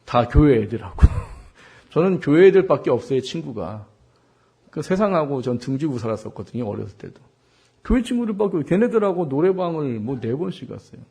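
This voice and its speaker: A man in his forties from South Korea preaching a sermon as a pastor, with a husky, worn voice, putting questions to his listeners and repeating words for emphasis. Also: fairly high pitch, sing-song, fairly quick, mellow. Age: 40s. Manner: rhetorical questions to the congregation, emphatic repetition. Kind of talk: Sunday sermon with personal anecdotes.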